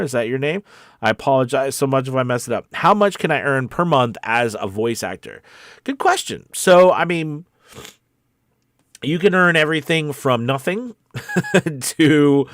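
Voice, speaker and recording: A man's voice: medium pitch, a bit bright, dry recording, studio quality. A man speaking casually and off the cuff as a voice acting coach, candid and encouraging, repeating himself for emphasis. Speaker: voice actor and coach hosting a live Q&A. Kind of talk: casual, off-the-cuff advice talk.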